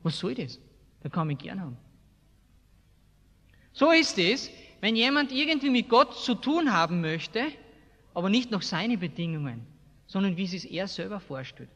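Male preacher, Austrian accent, high voice, blunt emphatic delivery, colloquial sermon, long hall reverb. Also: big pitch swings, moderate pace, long pauses, bright, forceful tone. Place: large hall, preaching to a seated congregation.

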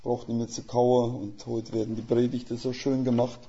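An older man preaching as a guest speaker in a folksy, plain-spoken way, with a Swiss German dialect and a gravelly voice. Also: medium pitch, level tone, moderate pace, dark tone. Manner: folksy, plain-spoken, with homely images and rhetorical questions. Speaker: missionary. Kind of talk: sermon. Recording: slightly dull lo-fi sound.

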